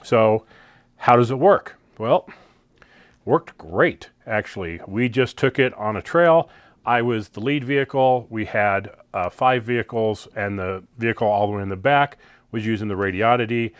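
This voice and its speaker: A man's voice: fairly low pitch, measured pace, smooth, no echo. A man with an American accent giving a casual, unscripted gear review in a plain-spoken, conversational way. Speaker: product reviewer, channel host.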